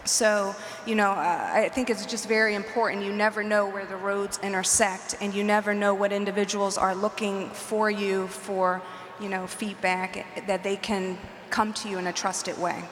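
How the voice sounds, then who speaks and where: A woman's voice: medium pitch, level tone, moderate pace, slight background noise. Woman executive speaking on a hall stage. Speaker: panelist, corporate executive. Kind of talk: panel discussion. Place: large conference hall stage. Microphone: handheld mic. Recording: roomy hall reverb, faint ambience.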